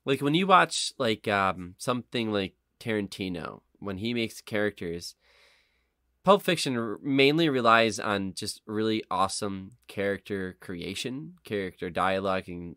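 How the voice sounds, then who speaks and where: A man co-hosting a podcast in casual, opinionated chat, emphatic, rambling and quick to swear. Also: fairly low pitch, lively intonation, a bit bright, no room sound, studio quality. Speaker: podcast co-host. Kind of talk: casual chat, opinionated film and game discussion.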